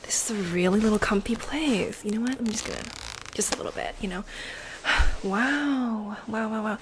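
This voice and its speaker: A young adult woman doing a casual ASMR role play, speaking softly and often slipping into a whisper with mouth sounds, close to a desktop mic. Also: mid-range voice, moderate pace. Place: bedroom, indoors. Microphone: close desktop condenser mic. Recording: close mic with noise, clicks.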